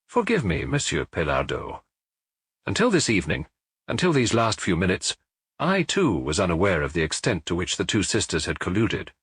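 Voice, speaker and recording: Man playing a pressing French police inspector, husky. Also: deep voice, wildly swooping pitch, moderate pace, a bit bright, no echo, full character voice. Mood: grave, sympathetic. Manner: insistent, probing questions. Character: French police inspector interrogating a suspect, firm, pressing, sympathetic.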